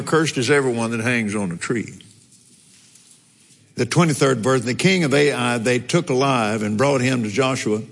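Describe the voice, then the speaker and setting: A man teaching from the Bible in a relaxed, folksy way, slipping in chuckling asides between verses. His voice sits at an ordinary middle pitch. The sound rings out with the long reverb of a big church hall. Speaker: preacher.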